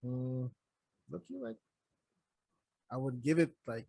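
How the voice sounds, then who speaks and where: A man's voice: medium pitch, big pitch swings, takes it slowly, gravelly, warm tone, dry recording. A man co-hosting a movie review podcast, chatting casually in slang, cursing freely and repeating phrases to make his point.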